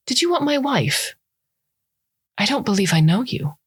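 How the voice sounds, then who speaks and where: A woman's voice: on the high side, big pitch swings, moderate pace, husky, no echo, studio quality, pitched above the performer's own voice, full character voice. A woman voicing a polite, bemused man answering a call from a stranger. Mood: puzzled. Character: puzzled polite man on the phone.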